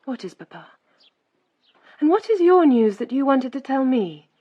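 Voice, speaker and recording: An English actress playing an earnest, emotional, devoted daughter in a radio drama, husky and breathlessly impassioned. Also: female voice, high voice, big pitch swings, moderate pace, lo-fi sound, full character voice. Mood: surprised. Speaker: actor.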